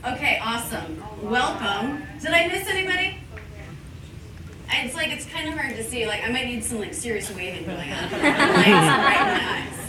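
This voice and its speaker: A woman's voice: fairly high pitch, big pitch swings, moderate pace. Grateful woman speaking to a crowd. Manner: warm, grateful, laughing often. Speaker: English teacher and YouTube host giving a thank-you speech. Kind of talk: live speech to a party crowd. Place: large event space. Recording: roomy, far from the mic, noisy.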